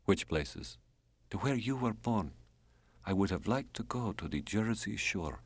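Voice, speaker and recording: Deep, wry American man acted by a male reader. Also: lively intonation, measured pace, breathy edge, no room sound, full character voice. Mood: rueful. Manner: clipped, colloquial, wry, dropped subjects. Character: aging, earthy, wry American man reminiscing to lover.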